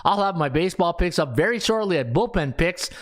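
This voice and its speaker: A man's voice: high voice, animated, brisk, fairly bright tone. A man in his fifties hosting a sports betting podcast, breezy and jokey as he rattles off stats with plenty of ums and uhs. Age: 50s. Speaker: podcast host and sports handicapper.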